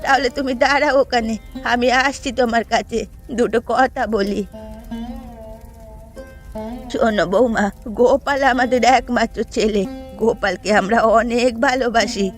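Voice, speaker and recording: A woman's voice: fairly high pitch, moderate pace, close to an everyday voice. A woman playing a doting, long-suffering ageing village mother in a Bengali cartoon, speaking earnestly and plaintively. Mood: pleading, gentle. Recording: background music under dialogue.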